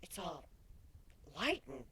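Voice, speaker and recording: A man's voice: sits high, huge pitch swings, slow pace, bright, forceful tone, a little hiss or hum, voice pushed up, full character voice. A man voicing a cute, playful young female changeling pony, cheeky and naive, in a story reading. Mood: puzzled, quiet.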